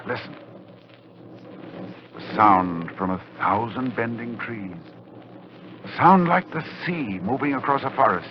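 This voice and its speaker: An actor playing an urgent, dread-filled scholarly doctor in a dramatic 1940s radio horror play. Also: male voice, medium pitch, lively intonation, measured pace, pauses often, slight background noise, lightly acted. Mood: grim dread. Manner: dramatic, intense delivery. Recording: muffled 1940s radio recording, music behind.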